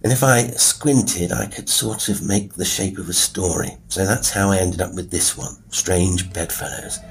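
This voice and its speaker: A British man hosting a solo podcast, talking informally in a rambling, wry, self-deprecating way with a husky voice. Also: sits low, steady tone, unhurried, rough recording. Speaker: horror podcast host and writer. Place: quiet room with a piano at work. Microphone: wireless Bluetooth mic into a phone.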